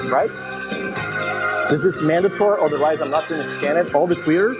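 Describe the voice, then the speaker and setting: A man from Brazil in his thirties with a strong Brazilian accent, giving a lively live demo talk at a conference, with many restarts and self-deprecating asides. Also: fairly high pitch, a little fast, over loud music, rough recording. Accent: Brazilian Portuguese. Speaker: conference speaker. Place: large conference hall with audience.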